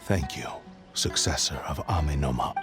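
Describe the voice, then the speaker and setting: A very low, remorseful spirit of an old cursed blade. He speaks in solemn, archaic, formal phrases like a fantasy game character. Loud music plays under the voice. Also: normal speed. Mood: remorseful pleading. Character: ancient sentient cursed sword spirit, weary and remorseful. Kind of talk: dramatic video game voice acting.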